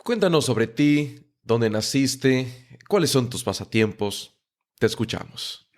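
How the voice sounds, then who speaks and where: A man's voice: mid-range voice, lively intonation, slow pace. A man hosting a podcast and interviewing a guest, friendly and stumbling over words now and then. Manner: friendly, repeats words and restarts often. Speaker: podcast host.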